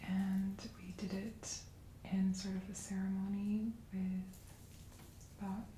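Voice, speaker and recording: A woman's voice: medium pitch, level tone, slight background noise. Young woman whispering in an echoey room. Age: young adult. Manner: soft whispering, unhurried and reflective. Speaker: guest. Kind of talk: ASMR whispered chat.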